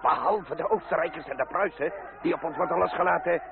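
An actor playing a blustering, hot-tempered revolutionary leader in a Dutch radio drama, forceful and declamatory. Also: male voice, on the high side, a little fast, vocal fry. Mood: furious. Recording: tinny noisy radio recording, loud crowd.

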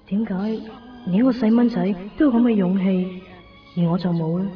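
Actress as earnest yearning young Hongkonger, muffled. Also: female voice, medium pitch, normal speed, lightly acted. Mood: tender. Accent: Hong Kong Cantonese. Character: yearning, self-doubting young woman in love. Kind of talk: radio drama inner monologue. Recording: dull 1990s radio recording. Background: faint music.

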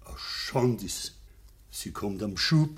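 An actor playing a proud, bitter old farmer in a radio play, speaking terse Bavarian dialect. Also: male voice, fairly low pitch, animated, slow pace, heavily acted. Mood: grave, ashamed. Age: older. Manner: gruff, terse rural dialect speech. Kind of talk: naturalistic radio drama acting.

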